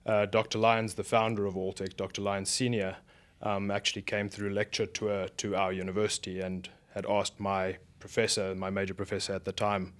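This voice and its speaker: South African man, low voice. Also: little pitch movement, brisk. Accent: South African, softened by years in America. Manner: thoughtful, explains at length with frequent fillers. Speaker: guest, beef research director. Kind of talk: casual podcast interview.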